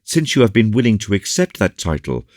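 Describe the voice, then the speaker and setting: A man's voice: sits low, very animated, measured pace, a little mellow, no room sound, clean studio sound, pitched above the performer's own voice. A man voicing an earnest, courteous young officer with an eager, agitated delivery in an audiobook. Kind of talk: audiobook character dialogue.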